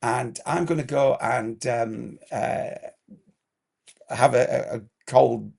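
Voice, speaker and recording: A man with a hoarse, croaky throat gives a direct instructional explainer as a compliance consultant, firing off checklist questions. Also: medium pitch, slow pace, a little mellow.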